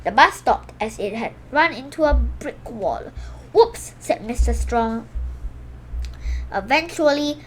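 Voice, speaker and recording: A woman's voice: fairly high pitch, expressive, unhurried, fairly bright tone, soft background music, poor audio. A woman reading a children's picture book aloud expressively, exclaiming the sound words and sometimes stumbling and correcting herself. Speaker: storybook reader for a children's video channel.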